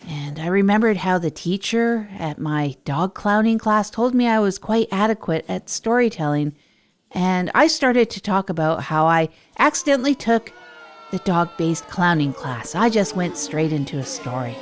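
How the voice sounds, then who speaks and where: A woman's voice: lowish voice, animated, moderate pace, natural voice. Earnest, rambling woman playing a hapless comic storyteller. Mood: rueful, confiding. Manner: rambling, earnest, self-deprecating. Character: Tog, hapless adult storyteller and failed stand-up comedian. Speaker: narrator and radio host. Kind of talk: improvised comic monologue in an audio drama.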